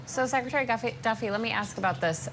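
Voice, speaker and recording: An American woman journalist putting questions in a news interview, measured and polite but probing. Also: a little high, background noise.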